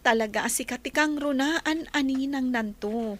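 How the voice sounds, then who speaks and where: A woman's voice: high voice, normal speed, natural voice. A woman playing an earnest, plaintive young mother in an Ilocano Filipino radio drama. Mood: calm, resolute.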